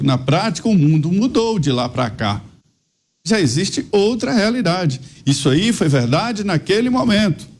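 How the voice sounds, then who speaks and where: Emphatic mineiro man arguing politics. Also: sits high. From Minas Gerais, Brazil. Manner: emphatic, argumentative, rambling asides. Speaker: political commentator. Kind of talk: panel debate commentary.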